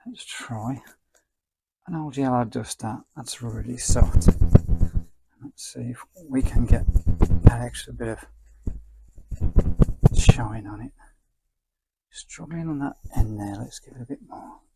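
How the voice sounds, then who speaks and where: A man giving a quiet, casual hands-on ASMR demonstration, murmuring to himself as he works and at times nearly whispering. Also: mid-range voice, slow, gravelly, long pauses, slight background noise, rough recording. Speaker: presenter of a restoration demonstration.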